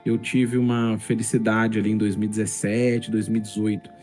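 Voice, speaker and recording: A man's voice: lowish voice, level tone, normal speed, a little mellow. A middle-aged man with a Brazilian accent explaining things in casual, articulate podcast talk, often checking for agreement. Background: faint music.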